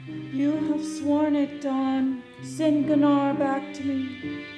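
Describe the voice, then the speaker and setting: A tender female spirit of a radio drama. Her voice is fairly high and slow, with solemn, archaic phrasing that chants like an incantation. Music plays beneath her. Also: level tone. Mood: haunting. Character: ghostly lovelorn woman spirit, tender and solemn. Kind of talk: old-time radio play acting. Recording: music under the voice.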